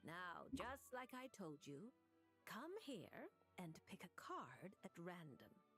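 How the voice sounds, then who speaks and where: A woman voicing an enigmatic, teasing fortune teller in a video game, with a sly, theatrical, unhurried delivery. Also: on the low side, big pitch swings, a bit bright, full character voice. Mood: coaxing, mysterious. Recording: game music behind. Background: game music.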